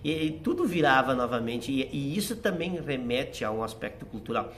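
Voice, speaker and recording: A man's voice: medium pitch, sing-song, normal speed, fairly bright tone, a little hiss or hum. A middle-aged man with a Rio Grande do Sul accent touched by German settler speech, telling stories reflectively in an interview. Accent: Rio Grande do Sul Portuguese with a German-settler lilt. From southern Brazil. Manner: reflective, nostalgic storytelling with frequent "né". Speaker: guest, theater writer and actor. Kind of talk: casual conversational interview.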